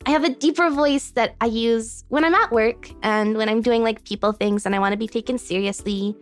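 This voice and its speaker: A woman's voice: fairly high pitch, brisk, faint music behind. A woman guest on a podcast, chatting casually in a bubbly, giggly way.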